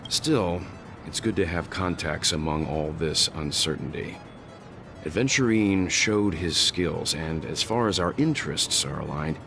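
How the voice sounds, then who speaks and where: An actor playing a grave, methodical mature man in a video game story, speaking seriously and with deliberate reserve. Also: low voice, creaky. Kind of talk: scripted game story dialogue. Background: background music.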